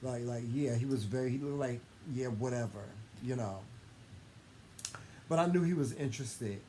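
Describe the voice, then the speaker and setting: Affectionate man storytelling over clear background noise. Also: medium pitch, normal speed, mellow. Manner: gushing, affectionate, repeats and circles back on phrases. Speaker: co-host telling a couple's story. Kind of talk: casual conversational storytelling. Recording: clearly noisy room ambience.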